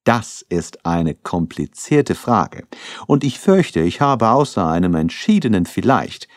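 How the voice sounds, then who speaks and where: A man voicing a theatrical detective who speaks loudly and firmly. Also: fairly low pitch, sing-song, a little slow, no echo, studio quality, pitched above the performer's own voice. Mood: emphatic. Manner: loud and firm, theatrical. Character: emphatic, theatrical detective friend, middle-aged man.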